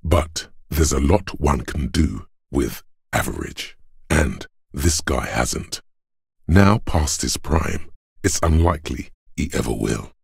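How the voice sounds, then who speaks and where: A man voicing a detective's inner logic in a video game, cold, analytical and condescending, speaking in a measured, deliberate way with a deep, husky, gravelly voice. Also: a little mellow, no echo, clean studio sound, heavily acted. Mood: detached disdain. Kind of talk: scripted game narration.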